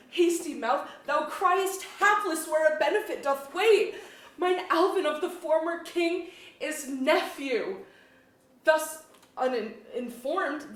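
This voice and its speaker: A woman playing a proud, hasty young fairy sprite in love, in a staged reading of an archaic verse play, with declamatory diction. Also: sits high. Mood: excited lament. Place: museum auditorium staged reading. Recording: echoey auditorium from far off, noisy.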